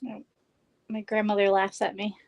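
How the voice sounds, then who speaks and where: A woman in a casual chat, easygoing and quick to laugh. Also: medium pitch, completely flat delivery, darkish tone. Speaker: guest.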